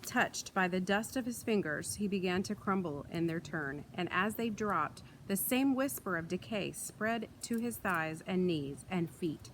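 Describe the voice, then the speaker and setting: A woman reading a children's book aloud in an expressive storytelling style, recorded outdoors. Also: on the low side, measured pace, few pauses, rough recording. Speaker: read-aloud reader. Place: outdoors in wind. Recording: light outdoor wind and ambient noise.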